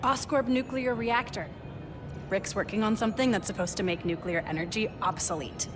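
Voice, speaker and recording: A woman's voice: medium pitch, moderate pace, music in the background, close to an everyday voice. An actress playing a clever, teasing teenage girl in a video game, speaking in a casual, wry, playful way. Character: bright, teasing teenage girl science whiz. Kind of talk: naturalistic video game acting.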